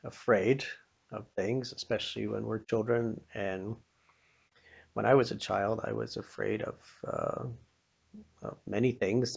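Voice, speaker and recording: An older American man telling informal inspirational stories in a folksy, plain-spoken manner, pausing now and then. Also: on the low side, gravelly, muffled recording. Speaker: storyteller sharing childhood memories with a religious lesson.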